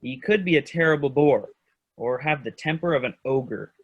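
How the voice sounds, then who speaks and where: A man's voice: medium pitch, normal speed, slightly rough, phone-quality sound. A man playing a practical, skeptical young friend in a staged reading, dry and teasing. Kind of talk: staged reading of children's theater.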